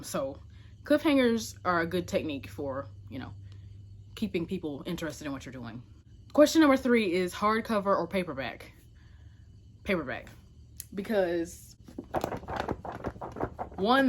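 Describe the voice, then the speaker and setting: A woman's voice: fairly low pitch, animated, unhurried, pauses often. A woman talking casually to camera as a book vlogger, informal and emphatic, often correcting herself with little asides. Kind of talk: casual chatty talk to camera.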